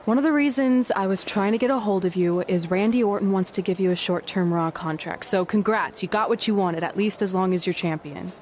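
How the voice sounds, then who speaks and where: A woman's voice: medium pitch, brisk, few pauses, a little mellow, natural voice. A woman acting as a blunt, exasperated young pro wrestler, sarcastic and no-nonsense in a scripted drama. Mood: matter-of-fact. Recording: noisy location sound with ambience.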